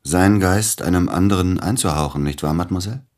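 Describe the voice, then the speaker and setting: An actor in a German radio drama playing a formal gentleman visitor who questions in a measured, pointed way with a husky voice. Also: low voice, natural voice. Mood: challenging. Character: formal, probing gentleman visitor, a celebrated pianist.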